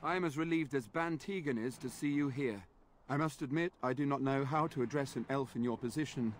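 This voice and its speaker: An earnest village knight in a fantasy game, acted by a man, courteous and formal. His diction is measured and polite at a middling pitch. Game ambience sits faintly behind him. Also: a little hiss or hum, natural voice. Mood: courteous, relieved. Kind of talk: video game character acting.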